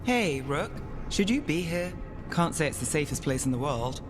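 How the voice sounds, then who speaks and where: An actor playing a wry, discouraging and teasing companion in a video game, with a casual, dry manner. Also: male voice, on the high side, sing-song, moderate pace, music in the background. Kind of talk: video game character acting.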